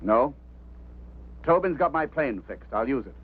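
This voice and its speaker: An actor playing a brusque, sarcastic, domineering boss in a 1950s drama, on a tinny old soundtrack. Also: male voice, mid-range voice, very animated, a little slow, slightly rough. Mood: cold authority. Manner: curt, sarcastic, commanding.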